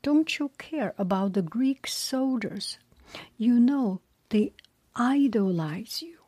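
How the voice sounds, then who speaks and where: A woman's voice: a little high, animated, takes it slowly, dark tone, husky, no echo, exaggerated voice. A woman whispering as a blunt, scolding Greek warrior.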